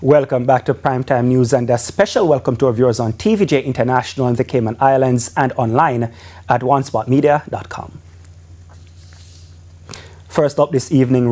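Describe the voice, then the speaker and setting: A man opens the evening news in a smooth, formal anchor style. His voice is mellow and warm at an ordinary pitch.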